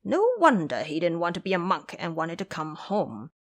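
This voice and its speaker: A woman's voice: on the low side, big pitch swings, moderate pace, pitched below the performer's own voice. Woman playing a sulky, bumbling male pig monster. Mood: envious musing. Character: foolish, whining, pouty male pig monster disciple. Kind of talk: audio drama acting.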